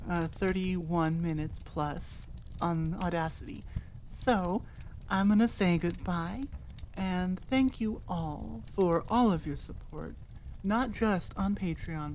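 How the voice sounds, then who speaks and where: Slow-talking woman, slightly low voice. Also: a little mellow, a little hiss or hum. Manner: rambling, halting, self-deprecating. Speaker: audio roleplay creator. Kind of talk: casual outro chat to listeners.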